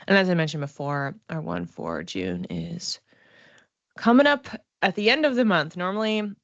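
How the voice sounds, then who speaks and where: A woman giving a casual livestream psychic reading, talking to viewers conversationally and thinking aloud in halting phrases. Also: on the low side, sing-song, no echo, lo-fi sound. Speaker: psychic reader and class teacher.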